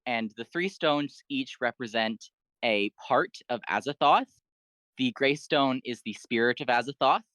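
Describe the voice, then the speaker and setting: A man's voice: mid-range voice, measured pace, fairly bright tone, dry recording, low-quality recording. A man talking casually as a podcast guest, explaining fan lore in an informal way.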